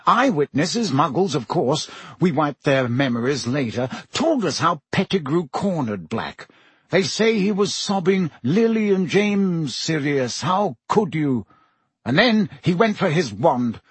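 A man voicing a pompous, anxious middle-aged male minister, fussy and earnest, with a husky voice. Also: on the high side, very animated, measured pace, no room sound, voice pushed up, heavily acted.

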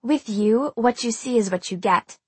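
A woman voicing a sharp-tongued, combative teenage girl in an audiobook, with biting sarcasm. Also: fairly high pitch, normal speed, breathy edge, dry recording. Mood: angry, biting. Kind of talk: audiobook character dialogue.

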